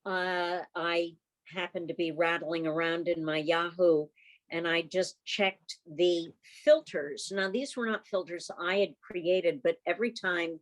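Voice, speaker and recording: Low-pitched woman chairing an online meeting. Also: rough recording. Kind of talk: informal club meeting talk over video call. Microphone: video call.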